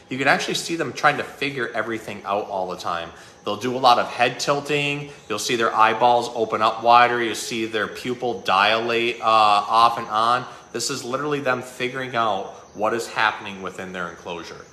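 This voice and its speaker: A man's voice: medium pitch, sharp tone, a little hiss or hum. A man presenting animals as a zookeeper, talking casually and informatively in a roomy space. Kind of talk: casual educational talk about animals. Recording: echoey, roomy sound.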